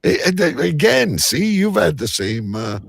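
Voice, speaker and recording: A man hosting a live show in rambling, casual banter, halting at times and then suddenly emphatic. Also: on the high side, very animated, gravelly, breathy edge, no room sound, clean studio sound. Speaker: host of a live online show.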